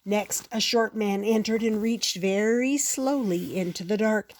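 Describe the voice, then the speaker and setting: An older woman reading a picture book aloud as the regular storyteller, slow, gentle and expressive. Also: mid-range voice.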